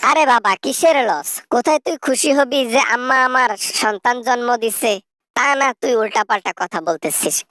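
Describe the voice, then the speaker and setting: A scheming elderly mother, acted by a woman in a political parody skit. Her voice sits fairly high and sounds very dry. She coaxes and boasts without shame. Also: moderate pace, a bit bright, no echo, close to an everyday voice. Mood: placating. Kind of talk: satirical phone-call parody skit.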